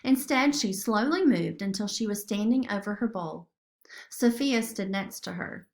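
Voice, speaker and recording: A woman's voice: on the high side, gravelly. A woman narrating a children's story expressively, as a storybook reader.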